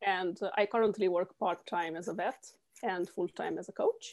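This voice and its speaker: A woman's voice: fairly low pitch, normal speed. A woman in her forties with a Romanian accent chats as a guest. She is giggly and full of "uh" fillers, and she leans hard on repeated phrases to make her point. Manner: warm and giggly, many "uh" fillers, emphatic repetition. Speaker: podcast guest, coach. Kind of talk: casual interview chat.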